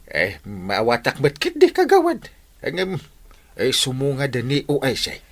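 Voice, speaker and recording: A man's voice: medium pitch, huge pitch swings, moderate pace, vocal fry, a bit bright, exaggerated voice. A whiny, groaning village captain in an Ilocano-accented Filipino radio comedy. His voice leaps wildly up and down as he exclaims and complains, often stumbling into hesitation. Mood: hesitant, grumbling. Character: comic village captain, whining and groaning over a bellyache.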